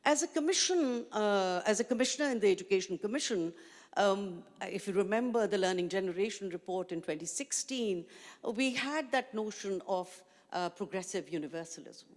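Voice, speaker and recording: A woman's voice: mid-range voice, lively intonation, moderate pace. Urdu-speaking woman on a conference stage. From Pakistan. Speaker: panelist. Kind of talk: panel discussion. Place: large conference hall stage. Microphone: handheld mic. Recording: long hall reverb.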